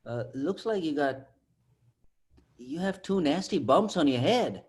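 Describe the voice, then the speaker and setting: A man's voice: on the high side, lively intonation, unhurried, darkish tone. A man playing a cheeky, laid-back sidekick in a comic table read, slangy and full of wisecracks. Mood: curious, prodding. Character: cheeky, laid-back male sidekick teasing his buddy.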